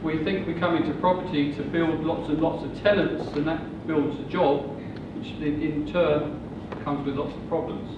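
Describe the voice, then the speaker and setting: A man in his sixties giving a measured, slow sales presentation about property investing. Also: fairly high pitch, fairly even intonation. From England. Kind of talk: seminar presentation. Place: hotel function room. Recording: muffled, distant, echoey room with noise.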